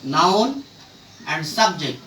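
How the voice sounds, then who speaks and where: A man with a Bangladeshi accent giving a formal classroom lecture as a teacher, in a deliberate, halting phrase-by-phrase way, recorded in an echoey room. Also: fairly high pitch, sing-song, pushed and bright. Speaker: English grammar teacher. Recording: echoey room, distant mic, faint noise.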